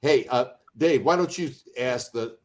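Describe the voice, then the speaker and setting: Animated male co-host. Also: medium pitch, a little slow. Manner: animated and exclamatory. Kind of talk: hosting an online interview.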